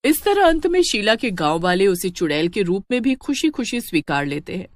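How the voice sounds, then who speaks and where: A woman giving a scripted, expressive narration of a cartoon horror story. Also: medium pitch, fairly quick.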